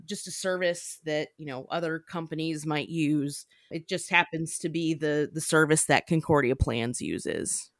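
A woman's voice: deep voice, measured pace, hardly any pauses, no room sound. Woman podcast co-host, chatty and giggly, mid voice, dry close mic. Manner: chatty, laughs often, many fillers. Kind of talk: casual chat.

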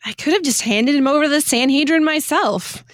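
A woman's voice: sits high, brisk, no room sound, clean studio sound, close to an everyday voice. A woman acting a despairing, guilt-ridden male disciple in a radio sitcom, dramatic and slangy. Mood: anguished, guilty. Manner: dramatic, self-reproaching, casual modern slang.

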